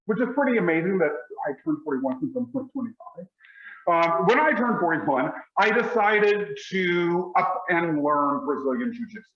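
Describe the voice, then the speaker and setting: A man, giving a motivational speech with emphatic, deliberate pauses. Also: sits high, expressive, roomy sound, dull audio. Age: 40s. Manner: emphatic, slow deliberate delivery with dramatic pauses. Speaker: motivational speaker.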